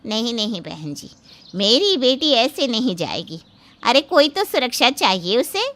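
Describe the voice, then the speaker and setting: Woman doing pushy bragging middle aged mom of the bride, story drama, slow n sharp, really insistent. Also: on the high side, very animated. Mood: indignant, demanding. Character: pushy, boastful middle-aged mother of a bride, demanding gold. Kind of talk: dramatized Hindi moral story acting.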